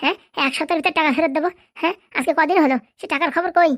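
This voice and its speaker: A woman's voice: high voice, rapid-fire, dry recording, clean studio sound, natural voice. A woman voicing an angry, nagging wife and mother in a comedy cartoon, scolding and threatening. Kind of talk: Bengali comedy cartoon dialogue.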